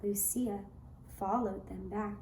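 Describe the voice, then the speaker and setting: A woman giving gentle scripted narration of a tale as a puppet show storyteller, soft and deliberate, with long pauses. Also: mid-range voice, fairly even intonation, roomy sound, slight background noise, poor audio.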